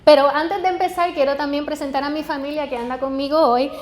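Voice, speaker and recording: A woman's voice: high-pitched, steady tone, fast talker. A woman in her forties from Puerto Rico with a Puerto Rican Spanish accent, a guest pastor preaching a sermon in a personal, joking way. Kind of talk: sermon to a congregation. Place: church sanctuary. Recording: echoey church hall with faint background.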